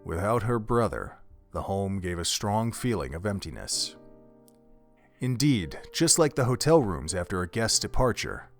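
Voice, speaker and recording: A man's voice: lowish voice, sing-song, a little slow, faint music behind. A man narrating an audio drama from a script, telling a story in the third person.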